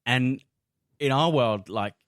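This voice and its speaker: Male podcast host, mid-pitched, enthusiastic storyteller in relaxed discussion, very dry recording. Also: slow pace, no room sound. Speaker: podcast host and tabletop role-player. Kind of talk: casual discussion among friends.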